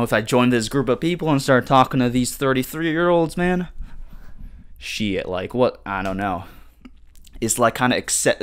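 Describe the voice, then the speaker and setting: A man's voice: fairly low pitch, fairly bright tone. A man in his twenties hosting a casual solo podcast, rambling with slang, lots of swearing and restarts. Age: 20s. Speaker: podcast host.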